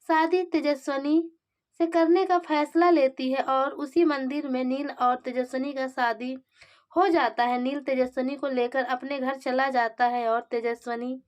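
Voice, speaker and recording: A woman giving a scripted, dramatic recap narration in the manner of a serial update. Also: high voice.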